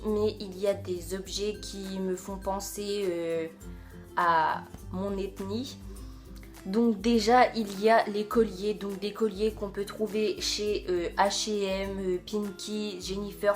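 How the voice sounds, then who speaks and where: A young woman with a French accent chatting casually to camera as a vlogger, hesitant and full of fillers. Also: medium pitch. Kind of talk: casual video chat.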